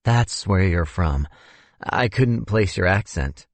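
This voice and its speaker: A man's voice: low-pitched, expressive, slow, dry recording, heavily acted. A man voicing a reserved young man in an audiobook, polite, understated and tentative. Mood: curious. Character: reserved young American man, awkward amateur private detective.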